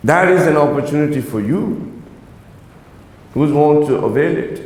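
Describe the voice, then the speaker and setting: A man's voice: on the high side, animated, a little slow, a little mellow. A man whose first language is Urdu, giving an earnest religious talk to young people and often checking in with them. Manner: earnest, exhorting, asks the audience "right?". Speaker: religious lecturer. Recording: echoey reverberant hall.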